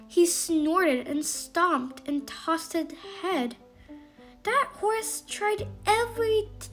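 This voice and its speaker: High-voiced, slow-paced woman storyteller. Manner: expressive, emphatic storytelling for children. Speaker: children's storyteller. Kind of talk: scripted bedtime story narration.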